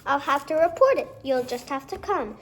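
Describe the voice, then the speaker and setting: A woman's voice: high voice, expressive, normal speed, a little hiss or hum. A woman voicing a strict, flustered schoolteacher in a storybook, scolding and exasperated.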